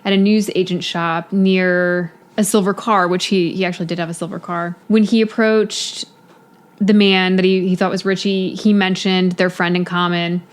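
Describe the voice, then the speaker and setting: A woman's voice: on the low side, little pitch movement, moderate pace. A woman co-hosting a podcast, telling a case in casual chat with a lot of "you know" fillers. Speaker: podcast co-host.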